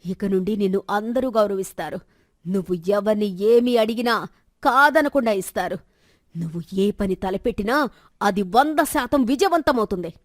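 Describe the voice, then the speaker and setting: A woman's voice: medium pitch, moderate pace, no room sound, clean studio sound, close to an everyday voice. A woman voicing a merciful female ghost who speaks solemnly and with authority in a story. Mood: benevolent, commanding. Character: merciful female ghost granting a boon, commanding.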